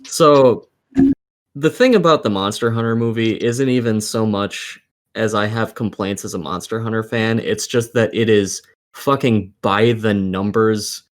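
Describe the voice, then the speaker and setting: A man's voice: fairly low pitch, a little slow. A man co-hosting a casual podcast, ranting in a profane, exasperated and emphatic way. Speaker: podcast co-host. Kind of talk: casual opinionated rant about games and films.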